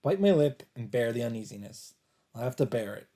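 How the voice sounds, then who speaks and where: A man narrating a visual novel aloud as a let's player, in a measured, casual read-aloud delivery. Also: medium pitch, big pitch swings, mellow.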